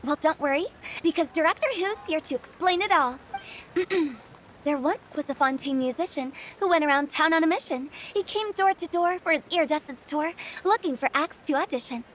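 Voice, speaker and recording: A woman's voice: high voice, moderate pace, slight background noise, natural voice. A woman voicing a quirky, theatrical, mischievous young female funeral director in a video game. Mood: showy, playful. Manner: playful, theatrical.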